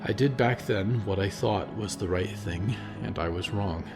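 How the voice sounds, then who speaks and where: A man's voice: fairly low pitch, measured pace, over music. A man voicing a thoughtful woman looking back on her past choices. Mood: reflective. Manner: earnest, thoughtful. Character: woman, reflective, remorseful former zealot.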